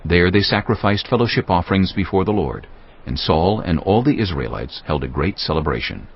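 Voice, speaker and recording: A man's voice: deep voice, big pitch swings, fairly quick, husky, muffled recording. A man reading scripted Bible narration as the narrator of an audio drama.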